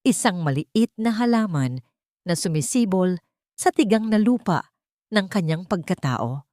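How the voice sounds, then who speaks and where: Low-pitched Filipino woman narrating a dramatic story. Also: animated, unhurried, a little mellow, no echo, clean studio sound.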